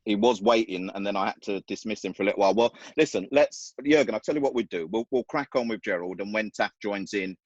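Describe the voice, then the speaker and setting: Male host, low voice, dry and muffled video call sound. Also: no echo. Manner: upbeat, quick to praise, laughs often. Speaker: host and organizer. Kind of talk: casual hosting on a video call.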